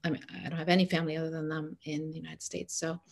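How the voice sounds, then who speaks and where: A middle-aged woman from Egypt talks as a guest in a relaxed interview. Her voice sits low and turns gravelly at phrase ends, and she talks in an earnest way with fillers and restarts. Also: racing. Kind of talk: casual conversational interview.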